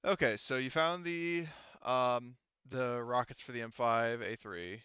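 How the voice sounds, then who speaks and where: A man running an online tabletop game as game master, speaking casually and haltingly as he thinks aloud through sums. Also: mid-range voice, sharp tone, clear, resonant tone, no echo, lo-fi sound. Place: remote online voice call.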